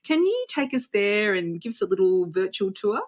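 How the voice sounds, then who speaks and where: A woman's voice: mid-range voice, sing-song, normal speed. A woman hosting a travel podcast, upbeat and chatty, sprinkling in Italian greetings. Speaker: podcast host. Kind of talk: casual interview chat.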